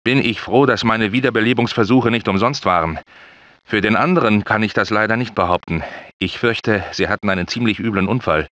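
A man's voice: a little fast, a bit bright, dry recording, close to an everyday voice. An actor playing an anxious, well-spoken male scientist in a dubbed video game, polite and earnest. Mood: worried, earnest. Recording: dull low-fidelity game audio.

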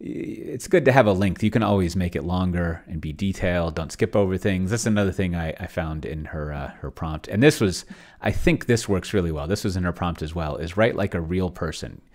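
A man's voice: sits low, a little fast, a little mellow. A man giving casual screen-share commentary, thinking aloud in a rambling, hesitant way with wry, self-deprecating humour. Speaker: solo tabletop roleplayer, video host. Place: indoors at a computer, screen recording.

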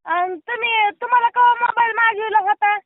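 High-voiced woman on a tinny phone line. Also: steady tone, moderate pace, slight background noise. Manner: amused, suspicious, rustic. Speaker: prank call target. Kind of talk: phone conversation. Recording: tinny phone call recording.

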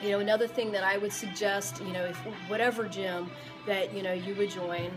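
A woman's voice: medium pitch, fairly even intonation, a little fast, over music, low-quality recording. A woman in her forties who owns a gym, giving casual fitness advice to the camera in a rambling way, full of fillers and restarts, recorded indoors in her gym. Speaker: gym owner and fitness coach. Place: indoor gym among weight machines. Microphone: camera or phone at close range.

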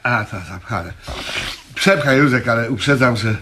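A man's voice: mid-range voice, moderate pace, echoey room, background noise, close to an everyday voice. An actor in a Polish radio comedy playing a harried, chatty male shop owner, eager, wheedling and fussy. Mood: harried, chummy.

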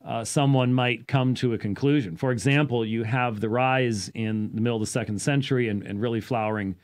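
A man in his fifties speaks in a medium-pitched voice, explaining things off the cuff like a teacher hosting a talk show. His delivery is deliberate and sometimes halting, with weight placed on the important words. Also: fairly even intonation, a little mellow. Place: small indoor study with bookshelves. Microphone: studio microphone on boom arm, close.

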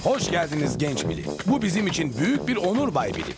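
A man's voice: fairly high pitch, huge pitch swings, quick pace, over loud music. A man voicing a fawning, proud prison officer in a cartoon, gushing and ceremonious. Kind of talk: Turkish cartoon dub acting.

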